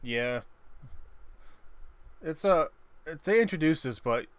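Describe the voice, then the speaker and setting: A man chatting casually while playing a co-op game, halting and often trailing off mid-thought. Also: on the high side, expressive, a crowd in the background. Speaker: co-op gaming video player. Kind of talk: casual gaming banter.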